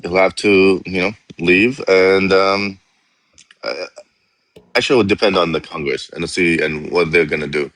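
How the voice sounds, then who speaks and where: Deep-voiced man from Iraq, arguing. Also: steady tone, moderate pace, fairly bright tone, dry recording. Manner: argumentative, talks over the host, laughs mid-sentence. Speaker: live-stream guest. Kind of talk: heated political debate.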